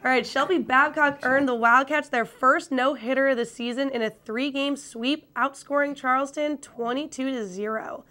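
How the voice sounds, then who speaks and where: A woman's voice: high voice, fairly even intonation, normal speed, few pauses, bright, forceful tone. A young woman presenting a student sports chat show as its host. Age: young adult.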